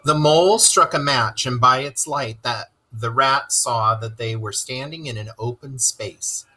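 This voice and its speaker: A storyteller giving an expressive, dramatic reading of a children's story. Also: medium pitch, unhurried, poor audio. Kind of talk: scripted narration, children's story read-aloud.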